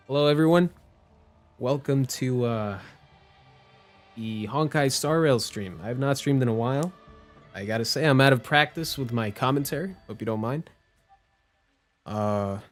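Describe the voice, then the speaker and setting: Casual man livestreaming over music. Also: mid-range voice. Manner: informal, swearing. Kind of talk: casual gaming stream commentary. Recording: music behind the voice.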